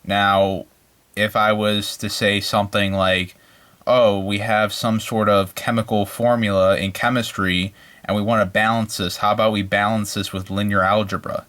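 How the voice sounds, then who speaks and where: A man's voice: lowish voice, level tone, moderate pace, a bit bright. A man giving an informal, unscripted introduction to an online maths course, rambling and hesitant, with plenty of filler phrases. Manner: rambling, hesitant, peppered with "you know" and "like". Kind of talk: informal, unscripted lecture talk.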